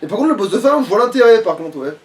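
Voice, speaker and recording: A high-pitched man chats casually through a gaming session. He talks quickly and informally, with some mild swearing, and sounds set back from the microphone. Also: big pitch swings, recorded from a distance. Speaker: let's play gamer.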